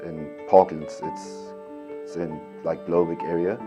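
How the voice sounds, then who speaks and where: A man's voice: low voice, level tone, a little slow. A young man from Cape Town talks hesitantly about his upbringing, with many likes and ums. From Cape Town, South Africa. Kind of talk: personal interview. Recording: dull lo-fi audio over guitar music.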